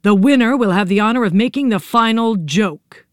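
A woman's voice: medium pitch, dry recording, clean studio sound, pitched above the performer's own voice, natural voice. Sneering egg-yolk invaders, as a woman puts them on. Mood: gleeful. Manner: gleeful, mocking, drawn-out.

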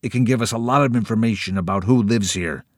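A man puts on a talking pig from a storybook, brainy and pleased with himself, his voice climbing above a low natural pitch. He stretches his words out slowly, like a showman. The reading is close and dry. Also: dry recording, lightly acted. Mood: brisk.

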